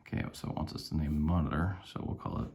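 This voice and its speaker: A man's voice: rumbling low, fairly even intonation, quick pace, creaky, a little mellow, slight background noise. A man giving a casual hands-on tutorial, thinking aloud in a tentative way as he demonstrates a device. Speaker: product reviewer demonstrating a device.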